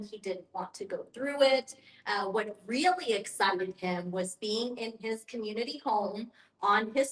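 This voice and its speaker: A woman leading a group discussion as facilitator, speaking in an earnest, explanatory way with emphatic repetition. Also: on the high side. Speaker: guest facilitator, end-of-life educator. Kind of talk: guided group discussion with personal anecdotes. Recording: echoey room.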